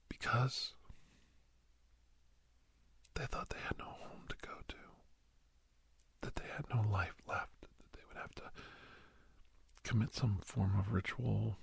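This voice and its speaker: A man acting as a middle-aged doctor in an ASMR roleplay, with a low, very breathy and husky voice. He tells his memories softly, often sinking into a whisper, with earnest, halting pauses. The sound is close and very dry. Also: dry recording, full character voice. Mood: emotional, sorrowful. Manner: halting, hushed, dramatic pauses. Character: middle-aged male doctor, earnest, sentimental, reminiscing to a youngster. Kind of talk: ASMR roleplay storytelling, soft-spoken and half-whispered. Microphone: close, dry microphone.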